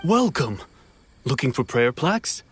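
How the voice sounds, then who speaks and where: A man's voice: medium pitch, big pitch swings, measured pace, over music. A man playing a helpful, patient festival stall vendor in a video game, polite and instructive. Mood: welcoming. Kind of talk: scripted video game voice acting.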